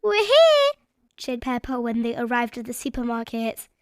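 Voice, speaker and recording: A woman narrating a children's read-aloud story in an expressive, measured storytelling manner. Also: on the high side, dry recording, studio quality.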